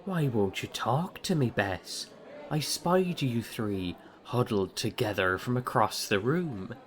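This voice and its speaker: Swaggering, slow-talking pirate captain. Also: male voice, mid-range voice, expressive, background noise. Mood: scornful, bullying. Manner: swaggering, taunting, indignant. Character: boastful, bullying young upstart pirate captain. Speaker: actor in an audio play. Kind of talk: theatrical audio drama dialogue.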